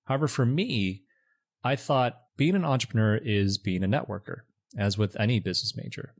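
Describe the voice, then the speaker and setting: Deep voiced guy guest, reflective, tells his background easy. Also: moderate pace. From China, raised in Michigan. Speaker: recent MBA graduate guest. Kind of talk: casual interview answers.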